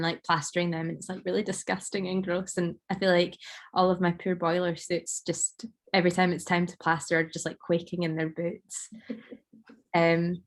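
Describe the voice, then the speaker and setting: Woman artist, low flat voice, slightly croaky, rambling casual chat. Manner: rambling, many fillers. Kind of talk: casual conversation.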